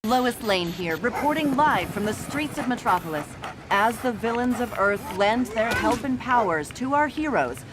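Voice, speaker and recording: An actress playing an earnest, dramatic television news reporter in a video game. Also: female voice, on the high side. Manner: earnest, dramatic newsreader delivery. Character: brisk, dramatic female TV news reporter, adult. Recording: clear outdoor street noise.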